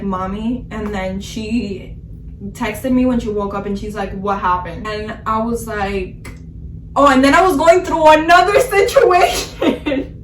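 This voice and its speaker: A woman's voice: on the high side, fairly bright tone, far from the mic. A woman in her twenties talks through a personal story in a loose, candid vlog style, swearing freely and leaning on "like." She sits a little back from the mic in a room with a noticeable echo. Faint ambient noise sits under her voice. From Miami area. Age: 20s. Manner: candid, frequent swearing, fillers like "like". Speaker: vlogger telling a personal story. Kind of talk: casual storytime monologue.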